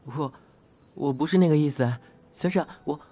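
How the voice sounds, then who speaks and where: A man's voice: fairly high pitch, normal speed, warm tone, natural voice. A young man playing an earnest, easily flustered male traffic officer in an audio drama, prone to stammering. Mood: nervous, pleading.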